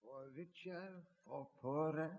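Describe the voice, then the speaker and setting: An actor playing a solemn wedding officiant in an audio drama, intoning ceremonially in a reverberant space with music behind him. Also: male voice, fairly high pitch, dull audio. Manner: slow, ceremonial. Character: solemn male wedding officiant reciting vows.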